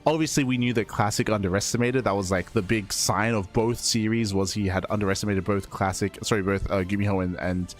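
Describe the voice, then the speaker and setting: A man's voice: fairly low pitch, fairly even intonation, fairly quick, few pauses. Man, esports caster, casual rambling chat, recovering voice, faint background music. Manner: chatty, rambling, frequent fillers and restarts. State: recovering from losing his voice. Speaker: esports caster and stream host. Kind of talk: casual rebroadcast commentary.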